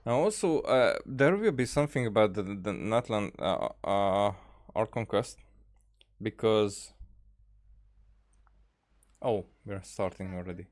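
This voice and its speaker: A man livestreaming a game in a casual, rambling way, often halting and trailing off mid-thought. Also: on the low side, animated, darkish tone. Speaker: game streamer. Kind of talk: casual livestream chat over gameplay.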